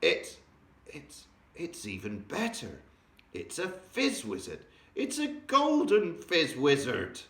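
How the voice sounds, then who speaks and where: A man voicing an excitable old male giant who mangles his grammar, invents words and bursts into loud exclamations. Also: medium pitch, normal speed, roomy sound, voice pushed up. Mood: excited.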